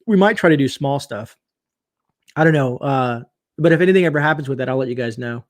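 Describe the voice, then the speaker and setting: A man hosting a late-night livestream, his voice sitting at an ordinary middle pitch. He talks loosely and chattily, with lots of fillers, and reads viewer comments aloud. His voice is going a little hoarse. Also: lively intonation, brisk. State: voice getting hoarse. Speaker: livestream host.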